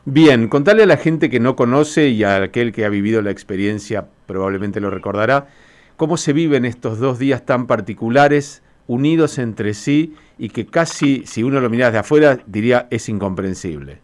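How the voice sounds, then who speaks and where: A male podcast host, introducing and questioning a guest with a measured, formal delivery. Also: mid-range voice, expressive. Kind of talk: radio interview.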